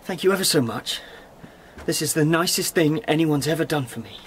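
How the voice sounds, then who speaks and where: An actor playing a naive, trusting, simple young man in a radio drama, excitable and childlike in manner. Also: a little high, brisk, a little hiss or hum, lightly acted. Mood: grateful.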